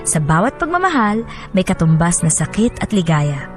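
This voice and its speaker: A woman radio host reading listener stories and messages in an expressive, sentimental way. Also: lowish voice, moderate pace. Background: music bed.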